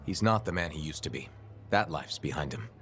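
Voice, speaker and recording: An actor playing a calm, earnest male freelancer in a video game cutscene. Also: extremely low pitch, brisk, soft background music.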